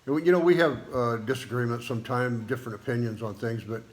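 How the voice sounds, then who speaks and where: An older man, speaking loudly and earnestly in a casual chat with his wife, weighing his words. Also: mid-range voice, fairly quick. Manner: loud-voiced, earnest, thoughtful. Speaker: vlogger, husband.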